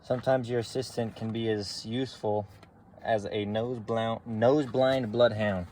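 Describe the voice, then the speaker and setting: A man's voice: fairly low pitch, fairly even intonation, unhurried, a little mellow, slight background noise. Rambling man grumbling over his gear. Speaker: channel host showing truck repairs. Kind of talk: casual how-to tutorial. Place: inside a truck at night.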